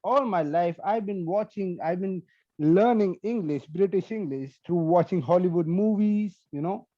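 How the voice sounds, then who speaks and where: A man's voice: sits high, normal speed, warm tone, dry recording, highs cut off. A man from India with an Indian accent whose first language is Hindi and Punjabi, doing a profane, brash stand-up set. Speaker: stand-up comedian.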